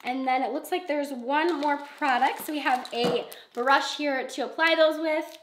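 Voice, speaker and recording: A woman in her twenties, hoarse and congested from a cold, chatting excitedly as she unboxes beauty products. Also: high voice, normal speed, reverberant, noisy background. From Michigan. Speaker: beauty YouTuber. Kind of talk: casual unboxing vlog.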